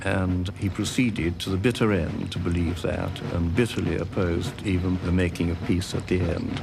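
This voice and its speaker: Deep, gravelly male expert. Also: steady tone, moderate pace. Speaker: expert interviewee. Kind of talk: explanatory documentary interview. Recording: clear background noise, room ambience.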